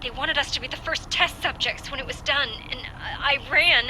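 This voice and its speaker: A woman's voice: high voice, a little fast, natural voice. Actress as an earnest young woman, noisy background. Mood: frightened, urgent. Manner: earnest, urgent. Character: brave, anxious young woman trapped in quarantine. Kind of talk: video game cutscene acting.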